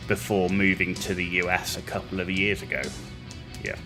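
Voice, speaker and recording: A low, gravelly British man in his forties talks his way through a game in an easy, musing manner, pausing on ums and uhs. Loud game music plays behind his voice throughout. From United Kingdom. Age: 40s. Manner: relaxed, musing aloud with fillers. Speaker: gaming video host. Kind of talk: casual gameplay commentary.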